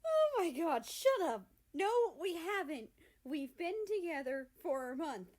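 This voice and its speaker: A woman's voice: very high voice, sing-song, unhurried, a little mellow, pitched above the performer's own voice, exaggerated voice. A woman voicing a flustered, bashful young man with an exasperated, emphatic manner in a story reading.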